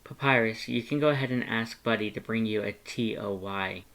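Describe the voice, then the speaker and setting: A man voicing a gentle, tentative animal shelter worker in a story, speaking softly and politely with some hesitation. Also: on the low side, normal speed, creaky, rough recording. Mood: tentative, friendly. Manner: soft-spoken, hesitant, polite.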